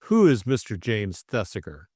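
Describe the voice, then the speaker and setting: Audiobook villain, stern Russian chairman of a conspiracy, commanding, deliberate, acted by a man, dry sound. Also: lowish voice, wildly swooping pitch, mellow, no room sound. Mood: sharp, suspicious.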